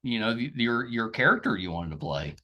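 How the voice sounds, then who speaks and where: A man's voice: mid-range voice, big pitch swings, brisk, muffled recording. A man interviewing a guest in casual, conversational podcast style.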